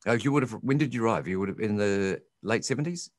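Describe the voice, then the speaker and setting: Deep-voiced interviewer, dry close sound. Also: male voice, fast, dry recording. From New Zealand. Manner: conversational, probing questions with asides.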